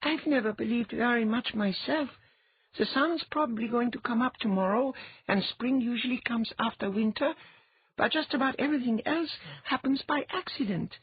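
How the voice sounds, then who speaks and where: A husky-voiced audiobook reader voicing an eager, curious boy with a blunt, matter-of-fact way of talking. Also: medium pitch, moderate pace, no room sound, lo-fi sound, pitched above the performer's own voice. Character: eager, curious boy who reads minds.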